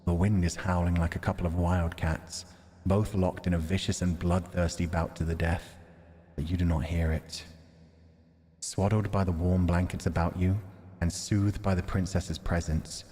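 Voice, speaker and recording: A man's voice: low voice, little pitch movement, moderate pace, dark tone, slightly rough. A man streaming a visual novel, narrating it expressively and adding candid, reflective, informal commentary. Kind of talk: expressive story narration mixed with casual commentary. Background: music.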